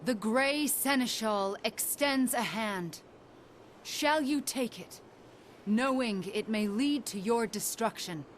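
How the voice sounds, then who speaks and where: A solemn female spellcaster in a video game. She intones formal words very slowly in a raised voice, ceremonious throughout. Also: a little high, a little hiss or hum. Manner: formal, incantatory.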